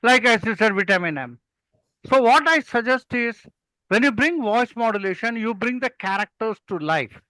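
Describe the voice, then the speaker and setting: A man's voice: extremely high pitch, lively intonation, normal speed, bright, forceful tone, no room sound, poor audio. An older man with an Indian accent, speaking as a mentor in an interview and telling anecdotes in an emphatic storytelling way. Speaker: public speaking mentor and guest.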